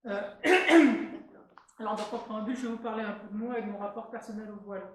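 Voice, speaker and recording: A woman in her sixties from Tunisia, giving an earnest, halting public talk at a meeting as an invited speaker, with many restarts. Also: medium pitch. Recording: very echoey room, faint noise.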